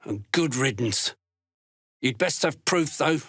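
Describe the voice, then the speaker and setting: An actor voicing a gruff, callous duergar slave hunter in a video game, blunt and threatening, with a gravelly voice. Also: male voice, medium pitch, animated, takes it slowly, a bit bright, dry recording, studio quality, full character voice. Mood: gruff, sardonic. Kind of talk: video game character voice lines.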